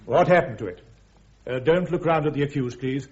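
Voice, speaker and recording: Male actor, British, lighter-pitched military prosecutor, brisk and methodical, radio drama, dull recording. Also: a little mellow, close to an everyday voice. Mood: brisk, probing. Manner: formal, methodical questioning. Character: brisk, precise army major prosecuting at a court-martial. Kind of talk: full-cast radio drama acting.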